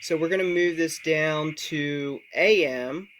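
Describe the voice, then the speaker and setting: A man's voice: a little high, slow, fairly bright tone, a little hiss or hum. A man presenting a casual, step-by-step tech tutorial, explaining things directly to the viewer.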